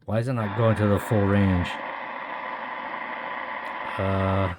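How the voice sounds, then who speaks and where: Low-voiced man muttering over noisy audio. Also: fairly even intonation, takes it slowly. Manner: muttering, puzzled thinking aloud. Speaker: hobbyist demonstrating a radio. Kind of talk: casual unscripted hands-on commentary. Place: indoors at a wooden tabletop. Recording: noisy room with radio static. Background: radio noise.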